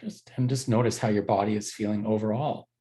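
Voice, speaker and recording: A man teaching a guided body scan meditation, giving gentle, unhurried instructions with frequent pauses. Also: fairly low pitch, fairly even intonation.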